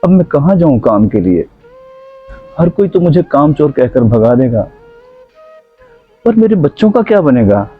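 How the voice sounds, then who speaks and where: A man's voice: fairly high pitch, sing-song, moderate pace, long pauses, slightly rough, phone-quality sound, faint music behind. A man playing a poor, honest middle-aged tailor and father driven to beg, plaintive and wounded, in an amateur moral drama. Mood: pleading, despairing. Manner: plaintive, pleading. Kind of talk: amateur moral short-film acting.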